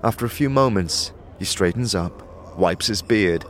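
A man's voice: on the low side, expressive, moderate pace, noisy background. A man reading scripted second-person narration for a fantasy audio drama. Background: music.

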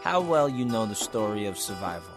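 A man playing a brooding, gold-obsessed survivor in an audio drama trailer, dramatic and measured. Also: on the low side, very animated. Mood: grave. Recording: loud music under the voice.

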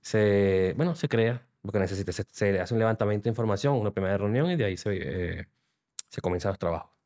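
A man's voice: on the low side, quick pace, a little mellow. A man in his thirties with a Venezuelan accent, a podcast guest explaining his work at length in a studio interview. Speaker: guest, software developer.

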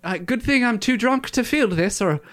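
A man's voice: extremely high pitch, expressive, moderate pace, a bit bright. A man playing a tipsy, loyal squire who speaks in flowery, old-fashioned phrases with hesitant fillers. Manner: flowery mock-olde speech with hesitant fillers. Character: tipsy, loyal young male squire with archaic speech. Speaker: improv comedian.

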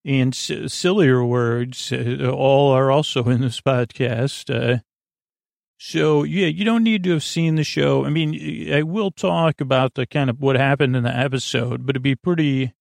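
Man, heavy vocal fry, mid-pitched, podcast host, rambling bedtime monologue, halting, trails off mid-thought. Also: dry recording, studio quality. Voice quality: creaky, gravelly.

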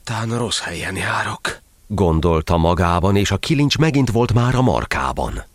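A man's voice: low voice, very animated, dry recording. A man narrating a verse tale in a solemn, theatrical storytelling style.

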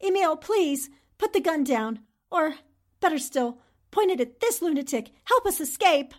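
An actress plays a plucky lady reporter in a vintage radio thriller. Her voice is high, and she delivers every line with emphatic, indignant drama. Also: expressive, moderate pace. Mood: urgent pleading. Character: bold, indignant newspaperwoman confronting a villain. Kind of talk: 1940s radio drama acting.

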